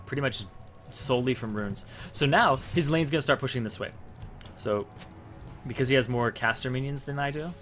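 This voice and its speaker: A man's voice: mid-range voice, brisk, fairly bright tone. A young man giving casual, explanatory gameplay commentary, thinking aloud as he plays. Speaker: gamer making a strategy guide. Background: faint game music and sounds.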